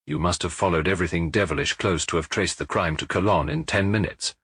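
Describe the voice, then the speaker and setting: A man's voice: sits low, fast, a bit bright, breathy edge, no room sound, clean studio sound. A man voicing a huge, blunt, impatient friend of a detective in an audiobook, given to exclaiming. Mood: impressed. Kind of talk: audiobook character dialogue.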